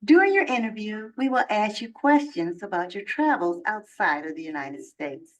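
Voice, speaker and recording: An actress playing a formal immigration officer who runs an interview in a measured, procedural way, heard from a video played at a distance. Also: female voice, medium pitch, expressive, lightly acted.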